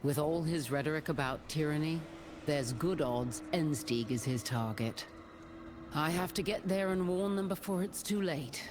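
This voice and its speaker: Determined video game hero played by an actor, loud game ambience all over it. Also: medium pitch, normal speed, close to an everyday voice. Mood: resolute. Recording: loud game noise behind voice.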